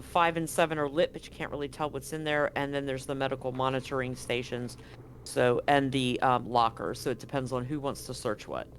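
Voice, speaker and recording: A man narrating as game master, describing scenes in a halting way with frequent ums and restarts. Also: medium pitch, fairly bright tone, slight background noise.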